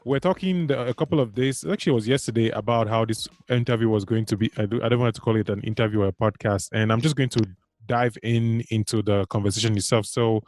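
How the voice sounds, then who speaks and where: A man's voice: lowish voice, darkish tone, no echo, studio quality. A man hosting a podcast, introducing and interviewing in a conversational style.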